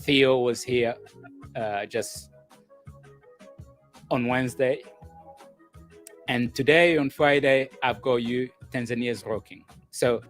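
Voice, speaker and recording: A man hosting a live relationship show, welcoming viewers and guests in a slow, deliberate way and often repeating himself. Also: mid-range voice, fairly even intonation, soft background music. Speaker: host of a call-in relationship show.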